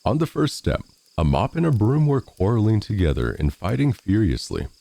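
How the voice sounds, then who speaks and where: A man reading a storybook fairy tale in a measured, expressive read-aloud manner. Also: on the low side, creaky. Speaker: storyteller, narrator of fairy tales. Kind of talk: scripted storybook narration.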